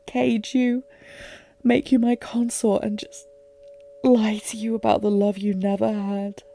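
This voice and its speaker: A woman playing a lovesick warrior queen, regal yet fragile, in an intimate fantasy audio drama with formal, emotive, halting delivery. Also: fairly high pitch, gravelly, dark tone. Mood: anguished. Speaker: audio roleplay performer.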